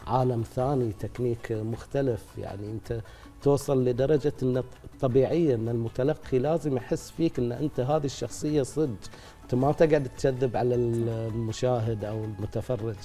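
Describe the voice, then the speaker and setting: A middle-aged man, an actor being interviewed, speaking reflectively at length with pauses. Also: medium pitch, mellow, faint music behind. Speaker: actor guest. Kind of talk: casual interview talk.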